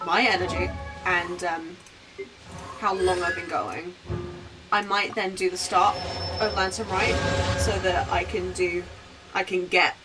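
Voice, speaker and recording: A woman game streamer chatting casually over gameplay, rambling in a giggly way. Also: fairly low pitch. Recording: slightly distant mic, music behind. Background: game music.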